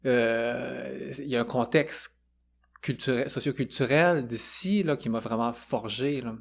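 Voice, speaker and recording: A man's voice: medium pitch, measured pace, creaky, slight background noise. A man in his forties with a Quebec French accent, a musician talking thoughtfully as a podcast guest, with frequent hesitations. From Quebec, Canada. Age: 40s. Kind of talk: casual conversation.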